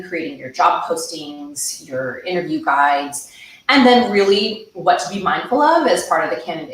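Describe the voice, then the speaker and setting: A woman's voice: on the low side, a bit bright. A woman hosting a video webinar, upbeat and chatty, with many ums. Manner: upbeat, filler-heavy. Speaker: webinar host. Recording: echoey room, distant mic.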